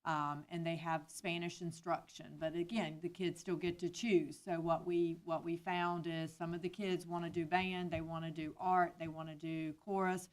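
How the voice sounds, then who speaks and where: A woman's voice: on the low side, little pitch movement, normal speed, hardly any pauses. A woman at a school board meeting, an administrator, explaining school schedules in a practical, matter-of-fact way.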